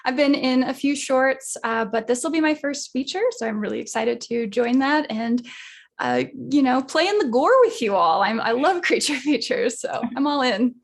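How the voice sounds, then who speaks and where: An actress introduces herself in a casual, friendly way. The woman's voice is on the high side, with an upbeat delivery. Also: normal speed.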